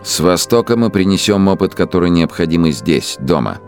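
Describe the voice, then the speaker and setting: An embittered, self-aggrandizing male dictator in a radio play. The voice sits in a rock-bottom bass with a gravelly grain. He broods aloud over old slights, with faint music behind him. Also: lively intonation, brisk, darkish tone. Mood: grandiose, fanatical. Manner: brooding monologue swelling into declamation. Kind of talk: radio drama interior monologue.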